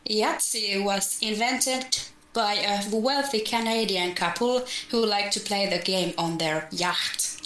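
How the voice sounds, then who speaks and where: A woman with a bright, crisp-edged voice reads trivia on a casual podcast at an unhurried pace. She is informal and a bit halting, recorded in an echoey room. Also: medium pitch. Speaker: podcast co-host. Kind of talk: casual chat, reading out trivia. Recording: echoey room with faint noise and clicks.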